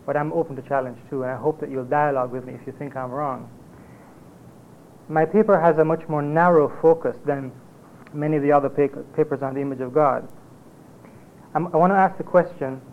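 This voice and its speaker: A man with a Jamaican accent presenting an academic paper at a conference, in a measured lecturing manner with occasional hesitations. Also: on the high side. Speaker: conference speaker. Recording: tinny phone-like lo-fi recording with faint hiss.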